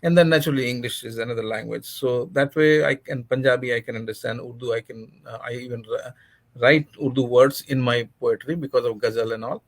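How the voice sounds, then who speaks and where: A man's voice: medium pitch, steady tone, a little fast. A middle-aged man from India, a native Hindi speaker, talking as a guest author in an interview, earnest and full of restarts and fillers. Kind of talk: interview conversation.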